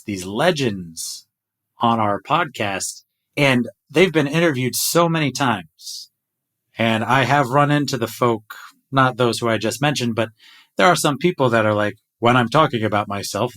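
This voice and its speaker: A middle-aged man hosts a podcast conversation in an earnest, reflective tone. His voice sits at an ordinary pitch and he talks casually with his guest. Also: fairly bright tone. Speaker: podcast host.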